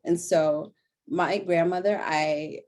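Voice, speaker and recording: A woman's voice: low voice, steady tone, slow, darkish tone. Earnest woman reading her panel talk. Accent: General American. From born in a refugee camp in Sudan, raised in Denver. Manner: earnest, self-correcting, occasional laughs.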